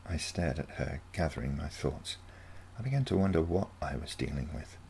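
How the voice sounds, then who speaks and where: Male narrator for short fiction: gravelly low register, expressive melody. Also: normal speed, slight background noise. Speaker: story reader. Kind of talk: scripted short-story narration.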